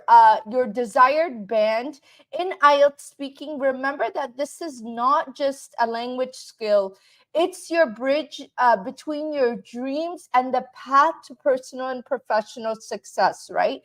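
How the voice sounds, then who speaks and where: A woman's voice: sits high, slow, fairly bright tone. A woman from Canada who teaches English, giving a live online class and often asking "right?" to check in with viewers.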